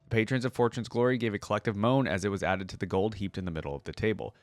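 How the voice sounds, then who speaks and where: A man's voice: fairly low pitch, fast, dry recording. A man hosting a reaction video, moving between casual commentary and reading a story aloud.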